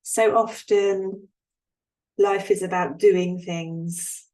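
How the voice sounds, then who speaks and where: A woman with a slightly low-pitched voice guides a relaxation meditation. She speaks calmly and soothingly, leaving unhurried pauses between her gentle instructions. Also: low-quality recording.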